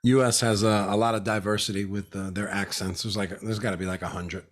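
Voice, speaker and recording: Quick-talking male podcast host. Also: on the low side, steady tone. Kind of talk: casual interview.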